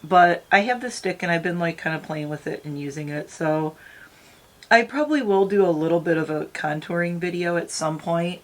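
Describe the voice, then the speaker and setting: A middle-aged woman with a slightly raspy morning voice, chatting and rambling to her viewers while doing her makeup. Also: on the low side, expressive, moderate pace. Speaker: beauty vlogger. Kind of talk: casual makeup tutorial chat.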